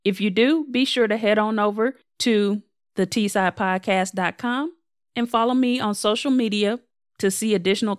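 A young woman, slightly hoarse, hosting her own podcast in a casual, candid and self-deprecating way. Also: mid-range voice, dry recording, studio quality. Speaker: podcast host. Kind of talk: casual solo podcast update.

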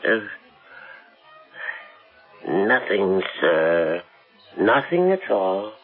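An actor playing a deferential, hesitant butler in an old radio drama, formal and uneasy. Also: male voice, low voice, very animated, extremely slow, full character voice. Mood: flustered, uneasy. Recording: muffled old radio broadcast with music.

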